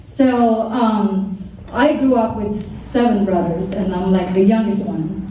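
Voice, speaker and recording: A Filipino woman from the Philippines gives a rambling, grateful speech full of fillers. Her voice is mellow and sits at a middle pitch. She is picked up from a distance in a very echoey room with faint noise behind her. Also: steady tone, takes it slowly. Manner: rambling, many fillers, stumbling English. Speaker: modeling agency owner giving a speech. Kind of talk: heartfelt speech at a party table.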